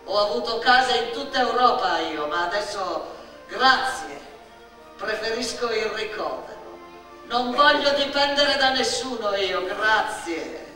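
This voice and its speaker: A proud old man with an anarchist streak, acted by a woman for radio theatre. The delivery is forceful and defiant, insisting with stiff courtesy. It rings through a very echoey space. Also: fairly high pitch, expressive, a little slow, distant mic, faint music behind. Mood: proud, stubborn. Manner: defiant, repetitive, politely refusing. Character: proud stubborn poor old male anarchist.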